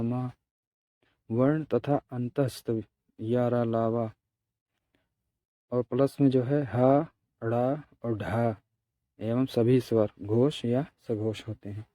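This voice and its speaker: Male tutor, slow and methodical, soft dark tone, close dry sound, explaining notes for exam students. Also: medium pitch, no room sound. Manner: methodical, repeats key terms and examples. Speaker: teacher. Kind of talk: exam-prep grammar lesson, reading out and explaining notes.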